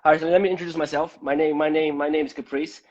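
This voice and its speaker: A man from Malaysia hosting a conversational live-stream interview, speaking haltingly and often repeating words. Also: fairly high pitch, little pitch movement. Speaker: host of a live debate. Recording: dull, lo-fi live-stream audio.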